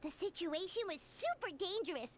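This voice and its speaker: A woman voicing a tiny, excitable female fairy companion who talks about herself by name in a bubbly, bouncy way. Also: very high voice, normal speed. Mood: excited. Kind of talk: video game voice acting.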